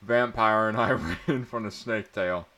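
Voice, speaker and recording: Slow-talking man reading fan fiction, low voice. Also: bright, forceful tone. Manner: often breaking into giggles and stumbling over words. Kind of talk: comedic dramatic reading of bad fan fiction.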